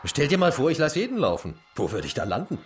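An actor playing a stern, bullying US marshal in a German radio crime drama, with a commanding manner. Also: male voice, mid-range voice, huge pitch swings, quick pace, music in the background. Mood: stern, superior. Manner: commanding, barking orders. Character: stern, bullying fake US marshal, grudgingly bribable.

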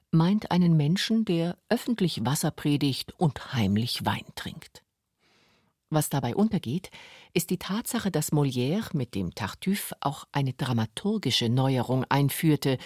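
A man's voice: a little high, a little mellow, dry recording, studio quality. A man narrating a scripted radio documentary. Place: dry studio.

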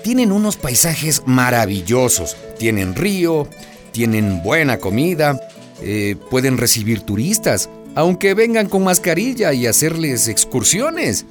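A man's voice: medium pitch, big pitch swings, unhurried, soft background music. A man narrating and acting a radio host in a radio drama, explaining in a persuasive way.

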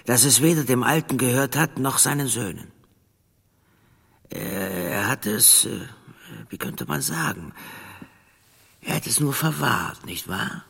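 A man's voice: medium pitch, animated, a little slow, vocal fry, long pauses, a bit bright, breathy edge, full character voice. A man playing a smug, menacing gangster boss in a German crime radio drama, with oily, chummy, hesitant phrasing. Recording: long reverberant hall sound.

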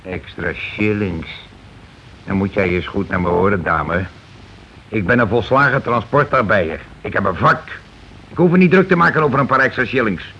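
A grumbling middle-aged husband in a radio drama, a working man full of his own importance. His voice sits fairly low, and he is sarcastic and blustering. It comes through a muffled, slightly hissy old recording. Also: expressive, moderate pace, sharp tone. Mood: indignant blustering. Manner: sarcastic, blustering, evasive, complaining. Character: grumbling, self-important working-class husband, a transport worker. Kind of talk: Dutch radio play acting.

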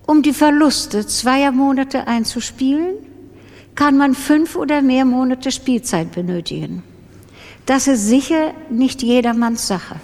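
An actress playing a matter-of-fact announcer who reads out instructions in a radio drama, clinical and measured. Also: female voice, sits high, a little mellow. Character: matter-of-fact female announcer reading out roulette betting systems. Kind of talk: scripted manual reading in radio drama. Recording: long hall reverb.

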